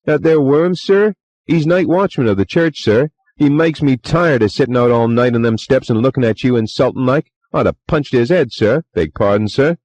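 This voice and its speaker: A man's voice: mid-range voice, animated, normal speed, a little mellow, dry recording, pitched above the performer's own voice, lightly acted, poor audio. Man doing a jittery Cockney bellboy. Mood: agitated. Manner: rough dialect speech, deferential, many "sir"s. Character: young Cockney male bellboy, nervous and chatty.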